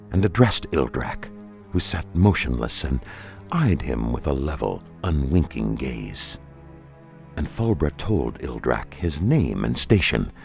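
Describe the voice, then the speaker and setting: Male narrator, dark fantasy audiobook: bottomless pitch, expressive melody, solemn measured delivery. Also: vocal fry. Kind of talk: scripted dramatic narration of dark fantasy fiction.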